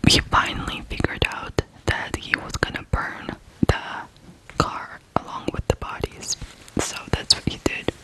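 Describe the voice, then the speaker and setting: An ASMR host from Ukraine narrating true crime in a hushed, deliberate way, pausing between short phrases. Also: low-quality recording.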